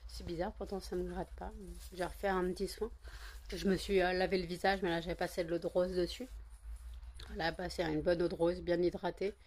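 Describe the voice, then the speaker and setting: A woman's voice: lowish voice, little pitch movement, brisk, a little mellow. A woman vlogger chatting casually to camera, rambling with many fillers and repeated words. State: just woken up, groggy.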